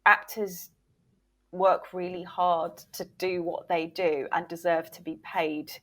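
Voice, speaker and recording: A woman's voice: on the low side, flat delivery, slow pace. A woman giving candid, emphatic answers as a guest in a conversational interview. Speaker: podcast guest, voiceover reel producer and former agent.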